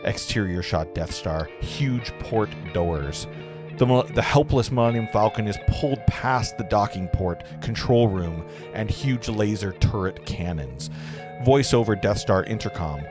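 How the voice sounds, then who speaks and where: A man's voice: fairly low pitch, expressive, unhurried, music in the background. A man narrating screenplay stage directions at a live table read, with casual asides.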